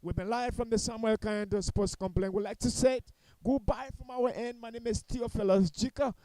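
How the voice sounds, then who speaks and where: A male football commentator with a Liberian accent talks through post-match analysis. His voice sits high for a man and sounds smooth and clear. The sound is dry, with very little room. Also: fairly even intonation, moderate pace, mellow, no echo.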